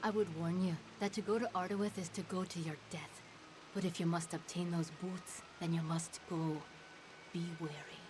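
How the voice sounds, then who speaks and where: A woman acts out a solemn fey herald for a fantasy game. Her voice sits somewhat low and she speaks with formal, sorrowful gravity. There is clear ambient noise behind her. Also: steady tone, natural voice. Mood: grave, warning. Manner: grave, measured, formal storytelling.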